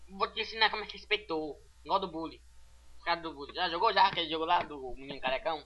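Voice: mid-range voice, fast, pushed and bright, lo-fi sound. An amateur dubber with a Brazilian accent doing a comic redub of a sitcom in brash, slangy, mocking street talk.

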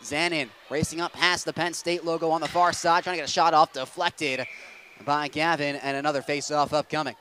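A man's voice: fairly high pitch, a little hiss or hum. Young man calling hockey play-by-play. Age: young adult. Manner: rapid, steady calling that spikes into excited shouting on goals. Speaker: play-by-play announcer.

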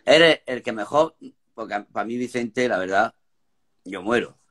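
A man, co-hosting a casual live stream from his bedroom with animated, self-mocking jokes. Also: medium pitch, unhurried. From Córdoba, Spain. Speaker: singer, live stream co-host. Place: indoors, home bedroom. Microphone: phone held close, video call.

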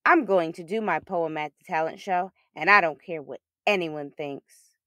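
A woman's voice: lowish voice, normal speed, pushed and bright, no room sound. A woman voicing a shy, earnest third-grade boy with big dreams in a storybook. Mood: defiant.

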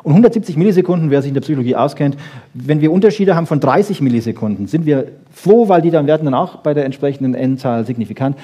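A man's voice: a little high, dark tone, breathy edge. A man giving a colloquial science lecture, emphatic, with rhetorical questions and frequent little check-ins to his listeners.

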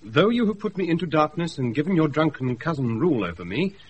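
An actor playing a household servant in a British radio Shakespeare, declaiming in a measured way, on a noisy off-air recording. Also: male voice, fairly high pitch, big pitch swings, lo-fi sound. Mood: declaiming, indignant. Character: household servant solemnly reading out an angry letter. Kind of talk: 1980s radio Shakespeare acting.

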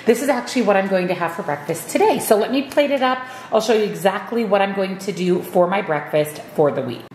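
A woman's voice: medium pitch, animated, fairly quick, a little mellow, roomy sound, a little hiss or hum. A woman giving upbeat step-by-step meal prep instructions in her home kitchen. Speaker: cooking vlogger.

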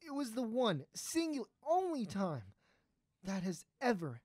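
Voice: medium pitch, wildly swooping pitch, a little slow, a little mellow, dry recording, clean studio sound. An actor playing an earnest, hesitant astronaut trying to win back an old friend in an audio drama. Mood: pleading, remorseful.

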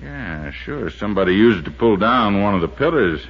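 An actor playing a folksy, practical, easygoing fishing buddy in a 1950s radio drama. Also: male voice, fairly low pitch, big pitch swings, moderate pace, husky, full character voice. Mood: matter-of-fact, wary. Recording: dull, very noisy 1950s radio recording.

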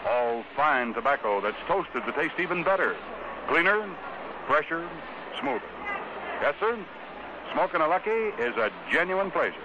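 An old, dull radio recording with clear noise carries an American man pitching for a sponsor. His voice is on the high side. He talks like a folksy 1950s salesman, in short punchy phrases. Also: animated, moderate pace. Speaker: radio announcer reading sponsor spots.

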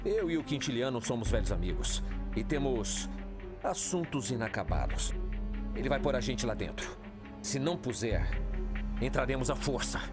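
An actor playing a headstrong, defiant major of a space squadron in an audio drama. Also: male voice, mid-range voice, very animated, normal speed, pauses often, loud music behind the voice, natural voice. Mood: determined.

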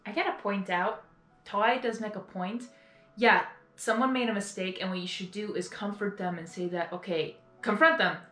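A young adult woman giving casual reaction commentary in an earnest, halting, repetitive way. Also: medium pitch, steady tone, bright, forceful tone, soft background music. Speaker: reaction video host. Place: small bedroom, indoors. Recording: roomy bedroom echo.

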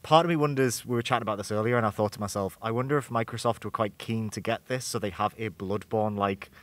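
A young man with a fairly low voice talks casually as a games journalist. He muses informally and offers his opinions in a conversational way. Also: quick pace. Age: young adult. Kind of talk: casual conversational game commentary. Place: small indoor room at a games convention.

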